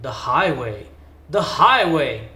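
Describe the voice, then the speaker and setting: Bullying rat bandit, put on by a man reading a storybook, loud, gloating, boomy echoey room. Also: sing-song, takes it slowly, exaggerated voice. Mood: bellowing. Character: greedy bullying male rat highwayman.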